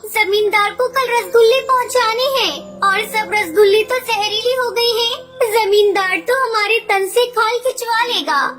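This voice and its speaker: A sweet little bird for a children's cartoon. The voice is extremely high and childlike, earnest and pleading, with faint music behind. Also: female voice, a little slow, hardly any pauses, fairly bright tone. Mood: anxious, pleading. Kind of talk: Hindi children's cartoon acting.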